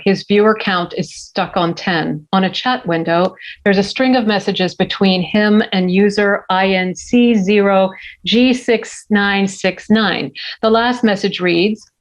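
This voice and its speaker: Woman, script narrator, medium pitch, reading stage directions, table read.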